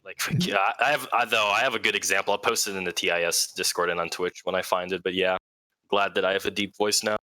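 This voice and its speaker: A man's voice: low voice, fairly even intonation, fast, pushed and bright, no room sound, low-quality recording. A man in his twenties, a guest talking casually and reflectively, with lots of filler words. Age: 20s. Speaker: guest fleet commander. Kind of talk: casual interview talk.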